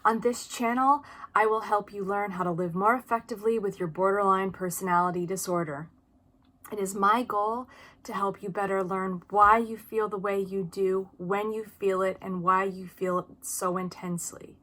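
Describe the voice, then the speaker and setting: A woman's voice: mid-range voice, steady tone, normal speed, fairly bright tone. Earnest woman in her forties. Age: early 40s. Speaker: video channel host. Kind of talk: informal advice talk to viewers, personal experience.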